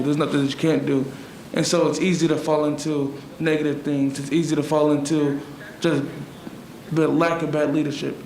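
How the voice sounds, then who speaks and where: Male panelist with a fairly high voice, reflective conversational delivery with mid-thought pauses, echoey room. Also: darkish tone. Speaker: town hall panelist. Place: echoey room at a town hall forum. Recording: echoey room, faint noise.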